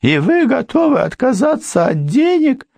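A man voicing a frightened middle-aged housekeeper in a high, strained, tearful voice. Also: wildly swooping pitch, unhurried, creaky, dry recording, heavily acted. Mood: pleading. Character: anxious middle-aged female housekeeper, shrill, pleading. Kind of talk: audiobook character voice.

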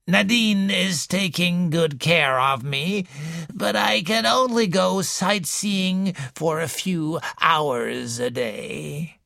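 A man's voice: high voice, vocal fry, sharp tone, dry recording, clean studio sound, voice pushed up, full character voice. Wheezing domineering old woman, voiced by a male narrator. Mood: cold, controlling. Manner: slow, cold, controlling. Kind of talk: audiobook character reading.

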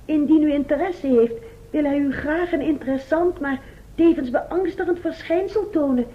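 High-voiced, demure messenger girl played by a woman. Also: moderate pace, natural voice. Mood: polite, dutiful. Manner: polite, dutiful. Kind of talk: radio play acting. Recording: dull, lo-fi old radio recording.

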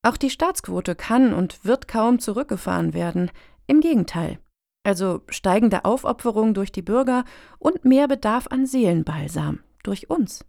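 Actress as haughty female lobbyist, gravelly fry, very animated melody, wry, radio satire. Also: mid-range voice, normal speed, no room sound, clean studio sound. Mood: arch, smug. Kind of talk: German radio satire acting.

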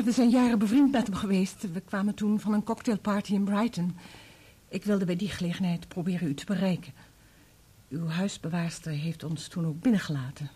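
A woman's voice: medium pitch, mellow, husky, natural voice. An actress in a Dutch radio play playing a polite, guarded married woman with a reserved, hesitant way of talking.

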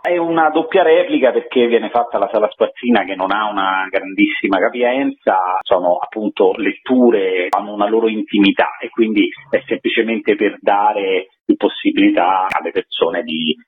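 Guy phoning into radio, lowish voice, tinny line, explaining an event like an interview guest. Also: moderate pace, hardly any pauses. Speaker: event presenter, workshop leader, interview guest. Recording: narrow phone line on radio.

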